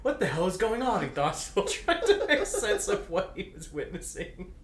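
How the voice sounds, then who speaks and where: A man's voice: high-pitched, very animated, moderate pace. A man casually reading fan fiction aloud and bantering, with a teasing, crude and giggly manner. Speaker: guest co-reader. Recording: roomy echo, faint background noise.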